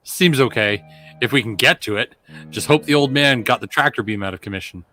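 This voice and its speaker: A man's voice: mid-range voice, fairly quick, sharp tone, faint music behind, lightly acted. A man playing a brash, wisecracking smuggler pilot with punchy delivery in an amateur table read of a space adventure film. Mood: uneasy.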